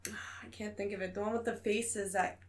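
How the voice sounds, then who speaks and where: A woman's voice: mid-range voice, steady tone, normal speed. A woman co-hosting a podcast, talking in an informal, conversational way. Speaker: podcast co-host. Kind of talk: casual chat.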